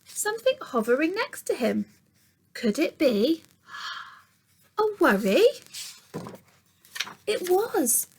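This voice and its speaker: A woman reading a picture book aloud like a teacher to young children, expressive, sometimes dropping to hushed tones and putting questions to her listeners. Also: high voice, creaky, breathy edge. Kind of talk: children's storytime read-aloud.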